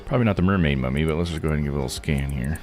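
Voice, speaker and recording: A man streaming a visual novel, thinking out loud in casual live commentary as he reads and puzzles. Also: very deep voice, rapid-fire, soft background music. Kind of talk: casual live game commentary, reading text aloud.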